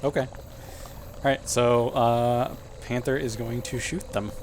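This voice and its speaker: A man's voice: medium pitch, steady tone, slow, a little mellow, a little hiss or hum. A man playing a tabletop role-playing game, talking casually as he works through his moves.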